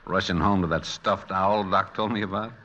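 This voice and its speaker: A laconic, confident swindler in an old radio play. His voice is very low yet bright and forceful, terse and offhand, on a dull vintage recording. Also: fairly even intonation, normal speed, a little hiss or hum. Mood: coolly confident. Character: laconic, cool male swindler, confident and businesslike. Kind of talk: vintage radio drama acting.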